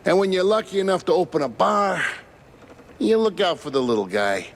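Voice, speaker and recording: Lively, folksy cartoon barkeep. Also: male voice, high-pitched, normal speed, gravelly, full character voice. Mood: kindly. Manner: folksy, colloquial, resigned. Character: kindly, proud, working-class male shrew barkeep. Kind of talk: 1930s noir cartoon acting.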